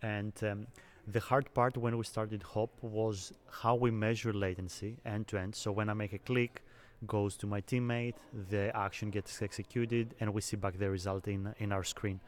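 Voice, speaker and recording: A young man from Greece, a software engineer, calmly explaining technical work in an interview. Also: lowish voice, moderate pace, few pauses. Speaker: software engineer, conference speaker. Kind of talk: interview answers.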